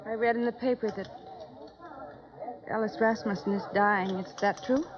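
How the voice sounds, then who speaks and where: Woman acting bruised young American widow, mid-pitched, emotionally raw, old radio drama, dull recording. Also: steady tone, normal speed, a crowd in the background, lightly acted. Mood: guarded. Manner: intense, emotionally raw delivery. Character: wounded, self-loathing young widow, hard-drinking yet devoted.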